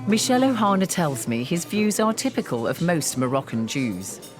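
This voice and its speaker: Low-voiced woman narrating a documentary. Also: sing-song, moderate pace, over loud music. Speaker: documentary presenter and interviewer. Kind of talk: scripted documentary narration and interviewing.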